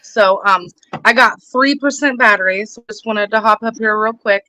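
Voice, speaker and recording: A woman's voice: mid-range voice, moderate pace, bright, forceful tone, dry recording, rough recording. A woman guest on a livestream, sharing news in casual conversation. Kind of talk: casual chat, sharing gossip.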